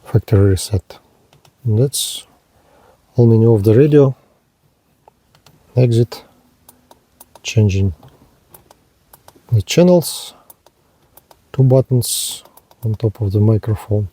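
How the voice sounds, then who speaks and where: A man giving a hands-on gadget demonstration as a reviewer, speaking in short, halting, item-by-item phrases. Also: lowish voice, dark tone, slightly rough, dry recording. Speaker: product reviewer, presenter.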